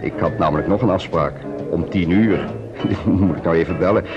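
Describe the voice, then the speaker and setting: Actor as deep, jovial bar charmer, Dutch accent. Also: male voice, brisk, natural voice. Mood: breezy. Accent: Netherlands Dutch. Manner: breezy, joking. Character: jovial, chatty male bar-goer telling jokes. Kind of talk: old-fashioned Dutch radio play acting. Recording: muffled old radio recording, music behind.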